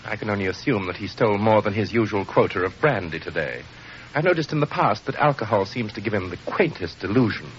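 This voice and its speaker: An actor playing a cynical, witty, middle-aged theatrical producer with a pompous, sardonic manner in an old radio drama. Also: male voice, on the low side, animated, quick pace, lightly acted. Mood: haughty, dismissive. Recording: muffled, noisy old radio recording.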